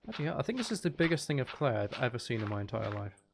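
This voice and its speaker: Gravelly man, casual gaming commentary. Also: medium pitch, sing-song, very fast, noisy background. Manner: rambling, thinking aloud, mild swearing. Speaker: gaming video creator playing Minecraft.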